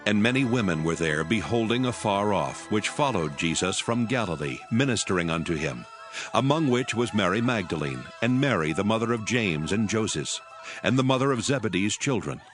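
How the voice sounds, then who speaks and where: Deep solemn male scripture narrator. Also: expressive, fairly bright tone, soft background music. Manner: solemn, measured reading.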